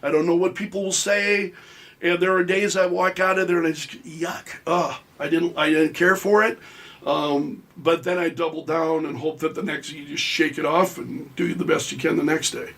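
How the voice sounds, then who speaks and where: A man's voice: fairly high pitch, fairly even intonation, moderate pace, gravelly, fairly bright tone. A middle-aged man, a radio talk show host, speaking conversationally as an interview guest and explaining his work in a self-deprecating way.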